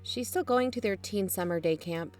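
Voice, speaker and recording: A woman's voice: mid-range voice, normal speed, faint music behind, lightly acted. A woman playing a pragmatic, guarded amateur investigator in naturalistic audio drama dialogue. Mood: earnest, firm.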